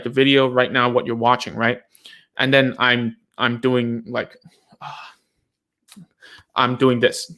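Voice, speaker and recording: A man's voice: mid-range voice, fairly bright tone. A man, a native Cantonese speaker, giving a casual live lesson to parents and therapists, checking in with "okay?" and "right?" after almost every point.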